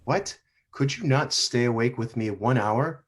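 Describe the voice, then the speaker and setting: A man reading the part of Jesus in a church passion play, solemn and measured. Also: mid-range voice, breathy edge. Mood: grave. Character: Jesus, grave calm male teacher. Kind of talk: dramatic scripture reading. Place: church sanctuary.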